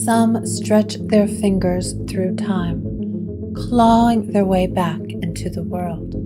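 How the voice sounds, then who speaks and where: A woman narrating a gothic audio drama in slow, hushed, dramatic storytelling. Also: medium pitch. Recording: guitar music under the voice.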